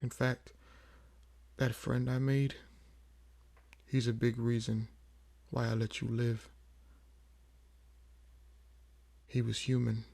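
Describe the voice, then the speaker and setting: A man playing a weary, proud old vampire in an audio roleplay, speaking in a measured, brooding way with frequent pauses. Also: medium pitch, completely flat delivery, creaky, a little mellow. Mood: wistful. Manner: measured, brooding, pausing often. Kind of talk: slow dramatic audio roleplay monologue.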